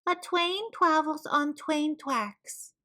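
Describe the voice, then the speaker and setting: A woman voicing a tiny, shy young male rat from a storybook, squeaky and meek, saying R as W. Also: takes it slowly, sharp tone, exaggerated voice. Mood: miserable. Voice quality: squeaky, says R as W. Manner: meek, hesitant.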